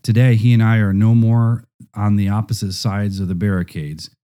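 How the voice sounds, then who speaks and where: Deep, very mellow male narrator. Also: fairly even intonation, moderate pace.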